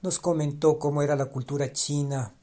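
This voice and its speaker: Halting man musing on a podcast. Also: fairly high pitch, fairly even intonation, warm tone. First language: Spanish. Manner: halting, restarts phrases, repeats ideas for emphasis. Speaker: podcast host. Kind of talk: reflective podcast monologue.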